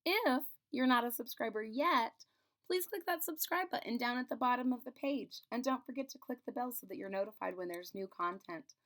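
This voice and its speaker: A woman's voice: a little high, a little fast. Woman reading a children's picture book aloud. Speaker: storybook reader and channel host.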